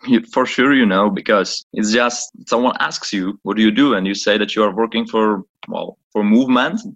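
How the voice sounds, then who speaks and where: Low-voiced man, hesitant. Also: moderate pace, a bit bright, dull audio. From Czech Republic. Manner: hesitant, searching for words, apologetic. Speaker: guest, chief of staff. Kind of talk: podcast interview. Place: office in Prague, on a call.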